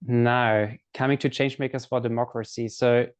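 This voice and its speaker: A man hosting an interview, asking questions in a flat, hesitant way with ums. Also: medium pitch, normal speed, clean tone, no room sound. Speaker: interview host.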